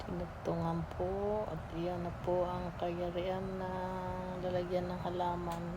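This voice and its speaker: A woman's voice: on the low side, flat delivery, measured pace, background noise. A Filipino woman narrating a casual vlog, speaking politely and informally and mixing in English phrases. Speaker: vlogger.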